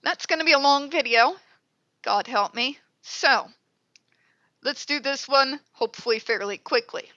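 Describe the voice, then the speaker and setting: A woman's voice: high voice, a little slow, bright, forceful tone, dry recording, lo-fi sound. Blunt, congested woman on a headset. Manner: blunt, exasperated, swears freely. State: stuffed up, congested. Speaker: commentary channel host. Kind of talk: ranting commentary.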